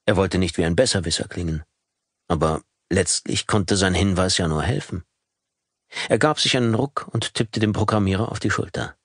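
A man reading an audiobook in a calm, measured storytelling manner, close to a studio microphone. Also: low voice, husky, no room sound. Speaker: audiobook narrator. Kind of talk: scripted audiobook narration.

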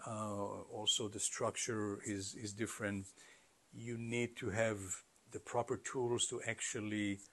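A middle-aged man giving conversational, explanatory interview answers in a halting way, as a company founder. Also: fairly low pitch. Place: carpeted listening room, indoors.

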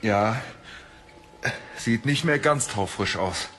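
An actor playing a wary, steady adventurer with a cautious manner in a German audio drama. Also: male voice, lowish voice, takes it slowly.

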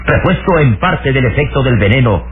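A man's voice: medium pitch, lively intonation, normal speed, a little hiss or hum. A man narrating a radio drama serial in a dramatic, scripted storytelling style. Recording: muffled vintage radio, music behind.

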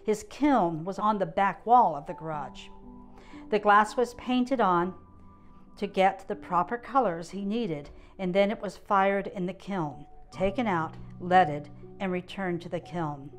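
A woman's voice: medium pitch, unhurried, soft background music. An older woman, around her seventies, reading a written family memoir aloud indoors into a close mic. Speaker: guest reader. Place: indoor gallery room.

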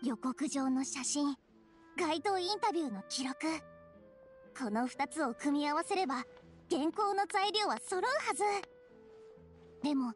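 A woman's voice: high-pitched, animated, a little slow, pauses often, faint music behind. An actress playing an eager, dramatic young female news reporter in an anime game, with a theatrical, inquisitive manner. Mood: excited. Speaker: character voice actor.

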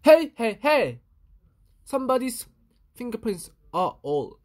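A woman's voice: on the high side, big pitch swings, slow pace, darkish tone, pitched above the performer's own voice. A woman voicing a fussy, dramatic little boy from a storybook, exclamatory and easily exasperated. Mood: alarmed, indignant. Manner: exclamatory, indignant.

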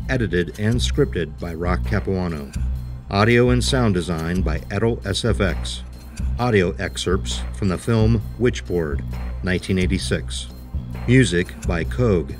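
A man reading a scripted show announcement in a formal, measured way. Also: deep voice. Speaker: podcast announcer. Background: prominent music.

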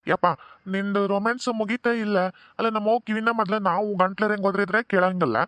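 A fast-talking, high-pitched man's voice plays the ghost of a dead husband, voiced by the actor. He lectures his son and boasts about himself over a dry, close mic. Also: level tone, a bit bright, no echo. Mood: teasing. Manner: lecturing, self-praising.

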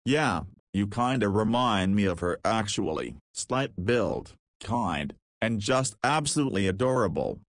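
Visual novel lead, male, nineteen: cheeky, easygoing and slangy, lowish voice, dry recording, acted by a man. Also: expressive, a little slow, few pauses, no echo. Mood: fond. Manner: casual, slangy. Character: cheeky, easygoing nineteen-year-old male ex-juvenile detainee. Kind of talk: visual novel character dialogue.

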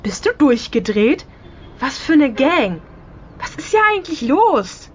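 A woman's voice: sits high, very animated, measured pace, highs cut off, slight background noise. An actress playing a defiant, indignant young girl, the big sister, in a radio drama.

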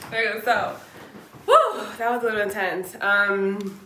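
A woman's voice: medium pitch, sing-song, a little slow, far from the mic. A woman in her twenties chatting casually as a patient, with a dramatic, slangy, exclamatory way of talking. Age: 20s. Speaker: chiropractic patient. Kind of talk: casual reactive chat during a treatment. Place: small treatment room indoors. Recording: roomy indoor echo, faint background noise.

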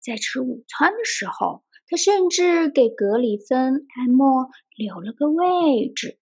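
A woman reading a children's picture book aloud in an expressive storytelling style. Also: high voice, unhurried, slightly rough. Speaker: storybook reader and translator. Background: music.

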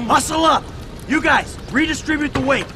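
An actor playing a bossy, exasperated middle-aged heist crew leader in a video game, commanding, sarcastic and profane. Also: male voice, extremely high pitch, full character voice. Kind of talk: video game cutscene acting.

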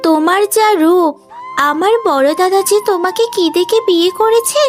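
A woman voicing a spiteful, sneering young unmarried sister-in-law in an animated moral story. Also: very high voice, moderate pace, soft background music. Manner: sneering, scolding.

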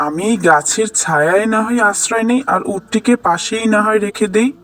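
Devout wayfarer for a cartoon, played by a man: high-pitched, earnest, prayerful, trusting. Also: a little fast, a bit bright. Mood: calm, hopeful. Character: devout, trusting male traveler with a camel. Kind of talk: cartoon voice acting.

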